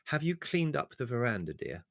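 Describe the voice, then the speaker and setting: Mild, soothing mother, softly placating, played by a male narrator. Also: medium pitch, big pitch swings, normal speed, vocal fry, no room sound, studio quality. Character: placating, gentle middle-aged mother.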